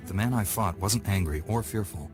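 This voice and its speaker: A man's voice: lowish voice, moderate pace, close to an everyday voice. An actor playing a young male Jedi padawan in a video game, with a blunt, dry delivery. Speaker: player character. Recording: game cutscene with music behind.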